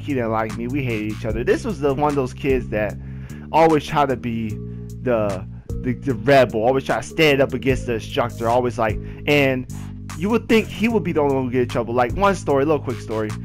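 A young American man casually telling personal stories in a slangy, repetitive, animated way. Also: mid-range voice. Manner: slangy, repetitive, animated retelling with acted quotes. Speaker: gaming video storyteller. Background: background music with guitar.

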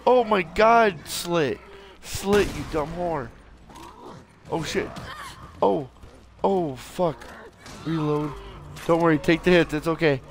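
A tired, hoarse man giving casual let's play commentary, swearing and yelping at the game. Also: on the high side, slow, pauses often, slight background noise. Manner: swears a lot, yelps at the game.

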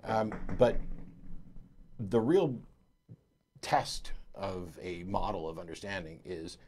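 A man's voice: sits low, expressive, takes it slowly, a little mellow. A middle-aged man hosting a call-in show in a studio, explaining his points emphatically and gesturing as he talks. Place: studio, at a desk. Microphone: lapel mic.

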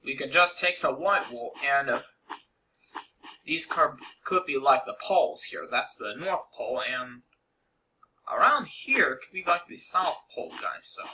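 Bright, lively rambling young man. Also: fairly high pitch, unhurried, a little hiss or hum, poor audio. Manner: rambling, lots of fillers and asides. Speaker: game tutorial creator. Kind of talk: casual screen-recorded game tutorial.

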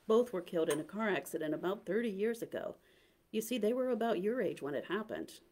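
A woman reading the part of an older man, gentle and pleading. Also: mid-range voice, expressive, brisk, pitched above the performer's own voice, close to an everyday voice. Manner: pleading, hesitant.

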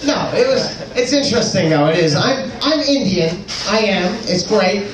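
A man's voice: sits high, animated, normal speed. A teenage boy performing conversational stand-up comedy with casual, slangy, exclamatory delivery. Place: indoor comedy club stage. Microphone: handheld stage microphone, picked up from afar. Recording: echoey live club, distant, noisy crowd. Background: loud audience noise.

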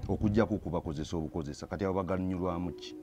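Emphatic low-voiced Ugandan man. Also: very fast, warm tone. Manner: emphatic, lecturing, rising to heated bursts. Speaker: theatre actor interviewed. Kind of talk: street interview, mixing Luganda and English. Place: outdoors by an orange door. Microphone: handheld reporter mic.

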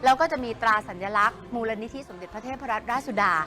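A woman's voice: fairly high pitch, quick pace, pushed and bright, soft background music. A woman reading scripted promotional narration as an entertainment news presenter.

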